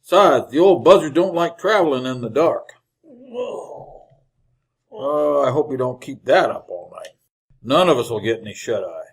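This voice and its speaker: An actor playing a sardonic, grumbling tobacco-chewing wagon driver in a radio Western, with a husky American Western voice. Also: male voice, mid-range voice, animated, moderate pace, pauses often. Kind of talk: old-time radio Western acting.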